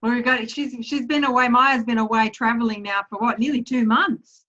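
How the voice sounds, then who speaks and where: A woman hosting a casual group video call, upbeat and quick to praise each guest. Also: on the high side, steady tone, bright, forceful tone, a little hiss or hum. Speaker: host of a team video call. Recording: muffled video call audio.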